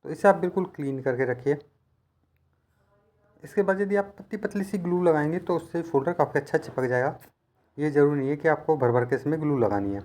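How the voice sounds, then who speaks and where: A man's voice: on the high side, fairly quick, darkish tone. A man giving hands-on instructional narration as a repair technician, explaining things in a patient, practical, conversational way.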